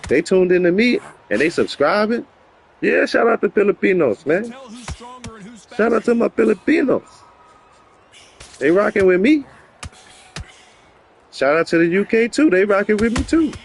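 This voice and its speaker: A man chatting casually to his viewers as he walks his dog outdoors. He talks in lively, slangy bursts and laughs often, with a faint background hiss behind him. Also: sits high, moderate pace, gravelly, long pauses. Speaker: vlogger. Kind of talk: casual vlog chat.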